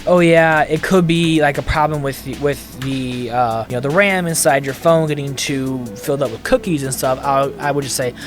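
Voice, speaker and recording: A man's voice: medium pitch, fairly even intonation, normal speed, hardly any pauses, faint music behind. A man hosting a podcast who explains things step by step in a loose, chatty way. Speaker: podcast host. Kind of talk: casual podcast chat.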